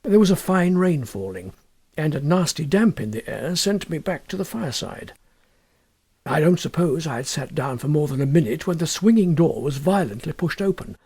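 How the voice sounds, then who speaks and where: Guy narrating an old story, measured but really animated, gravelly and husky. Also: a little high. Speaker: audiobook narrator. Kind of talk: expressive scripted first-person story narration.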